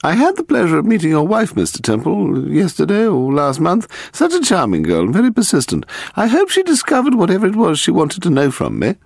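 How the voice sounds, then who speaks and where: A man's voice: fairly high pitch, huge pitch swings, a little fast, gravelly, few pauses, husky, dry recording, studio quality, voice pushed up, full character voice. A man voicing a haughty, amused older aristocratic lady in an audiobook, with a theatrical, condescending manner. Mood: grand, gracious.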